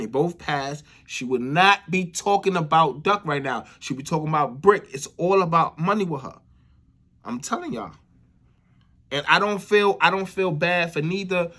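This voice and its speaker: A man's voice: on the high side, a bit bright. An American man giving casual, opinionated commentary as a YouTuber, repeating phrases for emphasis and often checking in with the listener. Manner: repeats phrases for emphasis, frequent "you know what I'm saying" tags.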